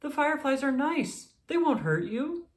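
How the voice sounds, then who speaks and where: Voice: high-pitched, big pitch swings, normal speed, echoey room, voice pushed up. A narrator voicing a sweet, curious little boy tiger cub in a children's storybook. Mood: eager.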